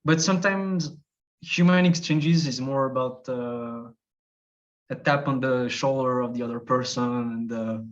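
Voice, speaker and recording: A man's voice: mid-range voice, measured pace. A man from Switzerland, a travel video creator, talking through his ideas in a casual interview, thoughtful and hesitant, with many fillers and restarts. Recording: dull lo-fi podcast audio.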